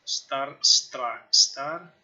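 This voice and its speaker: A man's voice: medium pitch, very slow, bright, forceful tone, muffled recording. A man who is a non-native English speaker, thinking aloud casually on a live stream, repeating himself and swearing as he works. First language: not English. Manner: muttering to himself, repetitive, sweary. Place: indoors at a computer.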